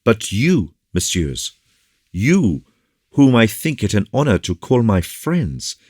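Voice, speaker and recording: A man's voice: low voice, sing-song, measured pace, a little mellow, no room sound, studio quality, pitched above the performer's own voice. A man voicing an earnest, courteous young officer with an eager, agitated delivery in an audiobook. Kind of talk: audiobook character dialogue.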